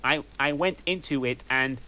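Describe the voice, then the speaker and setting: A man's voice: mid-range voice, normal speed, sharp tone, low-quality recording. A man reading a story aloud for laughs at home, with dry, mocking asides between lines. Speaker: reader. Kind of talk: comic reading of a fanfic.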